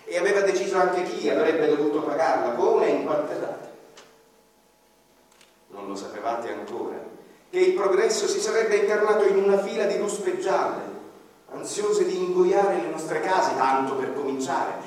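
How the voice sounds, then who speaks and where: An actor playing an ordinary man who mourns his lost seaside village, in a lyrical monologue that swings from loud to hushed. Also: sits high, lively intonation, moderate pace. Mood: bitter. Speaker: actor performing a radio-theatre monologue. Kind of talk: theatrical first-person monologue with violin accompaniment. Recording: echoey hall from far off, with violin.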